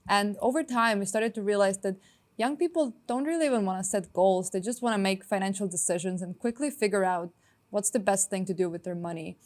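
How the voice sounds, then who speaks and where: A young woman from Estonia, a startup founder answering interview questions in a quick, upbeat way with many filler words. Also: mid-range voice, a little mellow.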